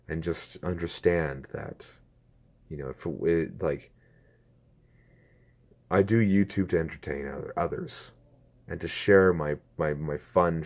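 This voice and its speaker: A man in his twenties talks to his viewers through a phone camera, earnest and halting, with a strained throat. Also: extremely low pitch, darkish tone. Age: 20s. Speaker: YouTuber. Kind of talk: casual talk to camera.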